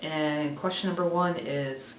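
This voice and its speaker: A woman's voice: sits low, steady tone, a little slow. A woman in her thirties chatting casually to camera as a vlogger, rambling and laughing at herself. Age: 30s. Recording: echoey room, mic a bit far off, faint hiss.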